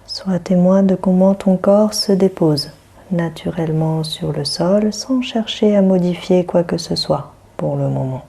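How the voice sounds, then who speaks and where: Slow, husky woman guiding meditation. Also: on the low side. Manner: soothing, hushed, long pauses between phrases. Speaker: yoga nidra guide. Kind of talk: guided meditation.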